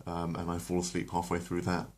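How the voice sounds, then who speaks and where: A man with a low voice reads a cartoon episode as a bedtime story. Between readings he rambles casually, correcting himself. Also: completely flat delivery, fairly quick, a little mellow. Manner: rambling with fillers, self-correcting. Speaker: channel host and story reader. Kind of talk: casual intro, then storybook narration.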